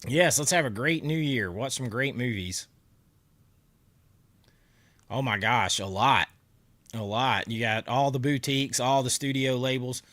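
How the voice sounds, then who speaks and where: A man's voice: medium pitch, a little slow, pauses often, a bit bright, dry recording. A man hosting a casual livestream, congested and talking around a cough drop, chatting and laughing as he answers viewer comments. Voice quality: nasal from congestion. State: stuffy nose, sore throat. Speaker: livestream host. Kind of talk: casual live chat with viewers.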